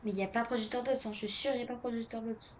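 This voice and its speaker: A woman's voice: a little high, steady tone, rapid-fire. A woman giving casual video game commentary, thinking aloud to herself and often repeating her phrases. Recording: clear background noise, game ambience.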